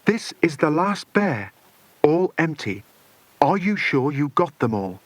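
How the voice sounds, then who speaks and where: A man's voice: fairly high pitch, big pitch swings, slow, no room sound, pitched above the performer's own voice, heavily acted. Storybook villain performed by a male reader: cold, sure of himself, menacing, dry close sound. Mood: scheming. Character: scheming adult male villain, cold and confident.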